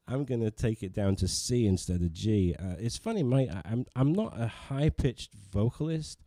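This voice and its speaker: A man's voice: mid-range voice, expressive, measured pace, dark tone, no echo. A man hosting a casual livestream from his living room, rambling and self-deprecating, with many restarts as he chats with viewers. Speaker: livestream host and pianist. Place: living room, digital piano.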